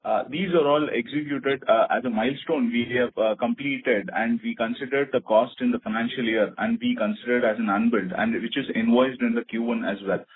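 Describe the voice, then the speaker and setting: A man's voice: mid-range voice, steady tone, fairly quick, few pauses. A man with an Indian accent answering investor questions as a finance executive, over a phone line. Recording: tinny narrow phone line.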